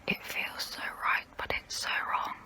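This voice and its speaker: A woman playing a guilty, melancholy centuries-old vampire in an ASMR role play, whispering in a slow, hesitant, wistful way with formal phrasing. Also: bright, forceful tone. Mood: wistful, conflicted. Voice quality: breathy whisper.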